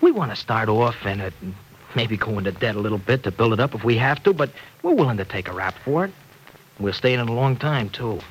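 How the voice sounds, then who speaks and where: Boyish, slangy young lover for vintage radio drama, played by an actor: mid-pitched, very animated, earnest and halting; noisy old recording. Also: male voice, close to an everyday voice. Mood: earnest, insistent. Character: earnest, slangy young working guy in love. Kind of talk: 1940s radio drama acting.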